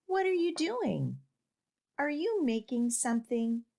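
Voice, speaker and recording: A woman's voice: high voice, huge pitch swings, takes it slowly, creaky, a little mellow, voice pushed up, heavily acted. A woman reading the part of an eager, childlike little animal in a storybook, pleading and full of questions. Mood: eager, curious.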